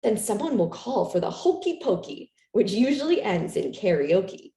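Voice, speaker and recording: A woman reading a children's picture book aloud in an expressive, animated storytelling manner for young listeners. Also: mid-range voice, normal speed, gravelly. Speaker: storytime reader. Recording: roomy, echoey room sound.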